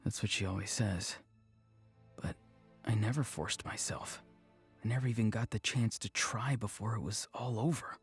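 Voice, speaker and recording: A man's voice: lowish voice, moderate pace, gravelly, husky, big-room sound, exaggerated voice. An actor playing a subdued, wistful young male farmer in a video game, with a hesitant, downbeat manner. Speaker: game character. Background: music.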